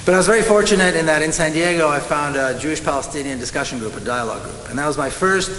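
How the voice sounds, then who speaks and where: A middle-aged man with an Israeli accent giving an impassioned conference speech, slowing down to hammer home key words. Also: a little high, fairly bright tone. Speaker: speaker at a conference. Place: large conference hall. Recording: echoey hall with faint hiss.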